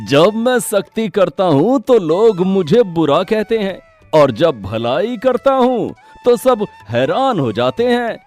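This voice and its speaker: Slow, swooping village moneylender played by a man. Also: high-pitched, very animated, a little mellow, soft background music, exaggerated voice. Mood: falsely genial. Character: shrewd village headman and moneylender, outwardly genial. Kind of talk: animated Hindi moral story dialogue.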